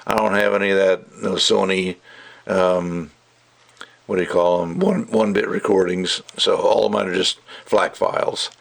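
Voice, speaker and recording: A man's voice: low-pitched, steady tone, moderate pace, gravelly. A man giving a casual, rambling product review in an opinionated, emphatic way with conversational asides. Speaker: gadget reviewer.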